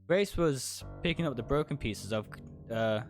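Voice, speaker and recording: Animated man reading a story aloud over music. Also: mid-range voice, normal speed. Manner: stumbles over words and corrects himself. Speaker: livestreamer reading a visual novel aloud. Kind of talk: dramatic story read-aloud, first-person narration. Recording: music behind the voice.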